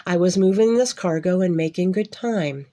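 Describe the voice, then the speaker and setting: A woman's voice: fairly low pitch, normal speed, mellow, lightly acted. A woman voicing a jolly little old man, a traveling wagon driver in a storybook, with folksy cowboy phrasing. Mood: jolly explaining.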